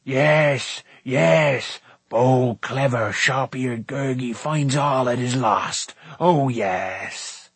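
A hairy, devoted little storybook creature. It is excitable and boastful, repeating itself in a fawning way. The voice is husky and stretches out its words. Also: male voice, mid-range voice, extremely slow, hardly any pauses, dry recording, exaggerated voice. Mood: proud, boastful. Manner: rhyming, repetitive, fawning talk.

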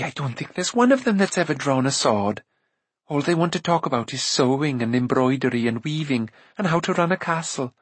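Guy doing a bossy adventurous young princess from a storybook, voice pushed up high, chattering away indignantly. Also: slightly rough, no echo. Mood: excited, scornful. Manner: rushed, breathless, exclaiming chatter.

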